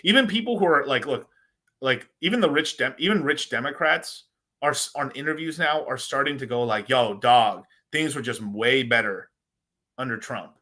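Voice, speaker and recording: A man's voice: medium pitch, moderate pace, pushed and bright. A man hosting a casual crypto livestream, rambling in slangy talk and calling his viewers "bro.".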